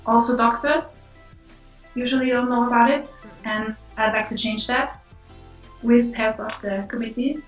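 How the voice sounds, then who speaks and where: A woman's voice: on the high side, fairly even intonation, a little slow, dull audio. A woman from Austria answering interview questions in an earnest, hesitant way. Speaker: committee member. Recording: distant roomy with faint music.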